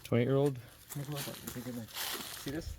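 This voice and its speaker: A man's voice: mid-range voice, fairly even intonation, fast talker, heavy background noise. A young man touring an abandoned film set for his vlog, talking loosely with lots of fillers and casual swearing, recorded on a handheld camera outdoors and inside an empty building. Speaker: vlogger touring a site. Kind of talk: casual walk-and-talk vlog.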